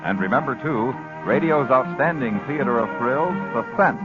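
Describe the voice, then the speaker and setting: A man's voice: medium pitch, lively intonation, moderate pace. A man announcing formally and dramatically in the manner of 1950s American network radio. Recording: dull 1950s radio recording with music. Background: theme music.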